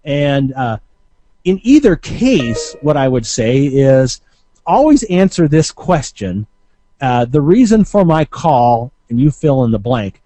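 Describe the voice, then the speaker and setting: Male coach, mid-pitched and mellow, explaining informally with a halting, self-correcting delivery; dry recording. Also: very animated, no room sound, faint music behind. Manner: halting, conversational, with frequent hesitations and self-corrections. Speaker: marketing coach and Q&A host. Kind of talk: informal instructional talk.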